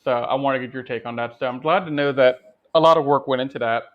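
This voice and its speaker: A man's voice: mid-range voice, quick pace. Conversational man on a dull microphone. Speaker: podcast co-host. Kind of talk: casual film discussion.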